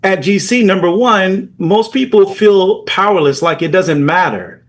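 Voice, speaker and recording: Frank man with a higher voice. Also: expressive, unhurried. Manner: frank, questioning, repeats himself. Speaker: panelist. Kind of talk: casual group discussion.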